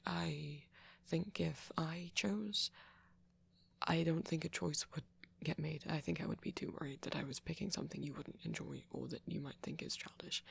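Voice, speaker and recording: A woman voicing a shy, anxious, apologetic young-looking male vampire in an ASMR roleplay, speaking softly and hesitantly with nervous stammers and half-whispers. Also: low voice, exaggerated voice. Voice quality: soft, breathy, often half-whispered. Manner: hesitant, stammering, self-conscious. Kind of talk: ASMR roleplay monologue to a listener.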